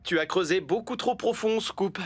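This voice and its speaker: A voice actor playing a calm, instructive site boss in a French cartoon dub. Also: mid-range voice, moderate pace, natural voice. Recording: cartoon soundtrack with loud music.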